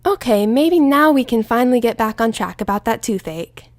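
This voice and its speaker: An irritable unicorn mare dentist, voiced by a woman reader, stern and indignant with every word pushed out firmly. The voice sits a touch higher than the reader's usual and is heard close and dry. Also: animated, moderate pace, no room sound, close to an everyday voice.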